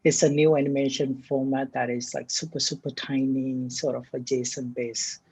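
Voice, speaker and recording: A man from the Maldives, a startup CTO, answering interview questions in a relaxed, hesitant way with many fillers. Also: mid-range voice, moderate pace, husky.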